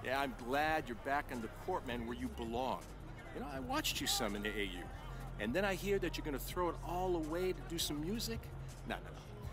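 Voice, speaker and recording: A man's voice: fairly high pitch, big pitch swings, brisk, over music. A man playing a chatty, encouraging pro basketball scout in a video game. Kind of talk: video game cutscene acting.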